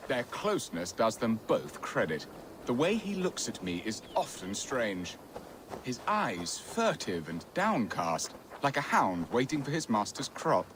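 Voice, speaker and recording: A man's voice: medium pitch, background noise. An actor playing a jovial, romantic, middle-aged medieval nobleman in a video game, speaking in flowery, archaic phrases. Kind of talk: video game cutscene acting.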